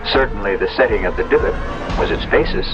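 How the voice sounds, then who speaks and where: A man hosting a radio mystery series, reading dramatic narration in a solemn, theatrical, deliberate way. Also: on the low side, expressive, over music. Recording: dull vintage radio recording.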